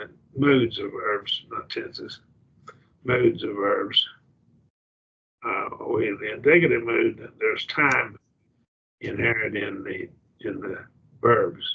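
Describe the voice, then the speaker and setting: A man with an Oklahoma accent teaching a Greek grammar class over a video call, explaining in halting restarts and checking that students follow. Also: mid-range voice, vocal fry, slightly rough. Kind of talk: online class lecture. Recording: dull lo-fi video call audio.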